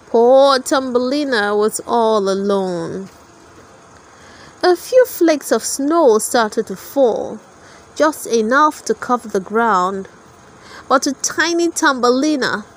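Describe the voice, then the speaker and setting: A woman narrator reads a children's story aloud in a high voice. Her delivery is slow and scripted, with very lively intonation suited to toddlers.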